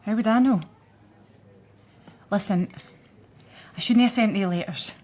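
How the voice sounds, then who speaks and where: A woman's voice: medium pitch, unhurried, darkish tone, natural voice. An actress playing a blunt, defensive working-class Scottish woman in a radio crime drama. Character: defiant, surly working-class woman, grieving girlfriend.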